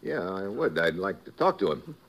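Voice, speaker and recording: A man's voice: sits low, very animated, normal speed, fairly bright tone, exaggerated voice. An actor playing a tough, wisecracking special agent who narrates his own case in laconic hard-boiled style, in a 1950s radio drama. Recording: old radio recording with music.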